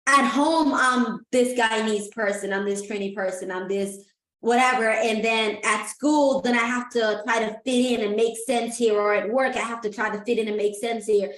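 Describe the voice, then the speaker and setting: A woman's voice: a little high, steady tone, normal speed, a bit bright. A woman hosting an interview, chatty and enthusiastic as she asks her questions. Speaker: host. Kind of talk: casual interview. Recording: roomy echo.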